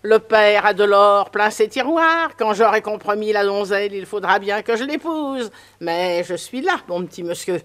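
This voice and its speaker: A woman's voice: medium pitch, expressive, normal speed, few pauses, a bit bright, close to an everyday voice. A woman playing a prudish, scolding old spinster neighbor in a French radio drama. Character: prim nosy old spinster, moralizing neighbor.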